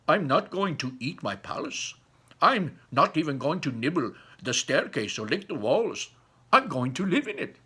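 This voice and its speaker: A haughty, foolish storybook prince, acted out by a man speaks with defiant indignation. The voice is raised in pitch, loud and forceful. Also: sing-song, normal speed, husky, exaggerated voice. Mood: indignant.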